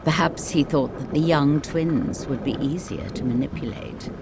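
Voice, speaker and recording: A storyteller narrating a scripted bedtime fairy tale in measured, dramatic phrases. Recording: clear rain and rumble noise behind. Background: rain ambience.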